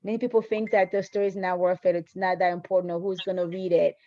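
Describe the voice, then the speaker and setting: A woman's voice: fairly low pitch, level tone, fast, no room sound. A woman hosting a conversational interview, encouraging and affirming, on muffled lo-fi audio. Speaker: interviewer, host.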